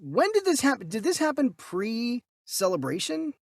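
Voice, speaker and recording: A man speaks as a casual podcast co-host, blunt and informal. His pitch rises and falls in an animated way, and the recording is dry and close. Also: normal speed, vocal fry, no echo. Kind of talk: casual movie news podcast chat.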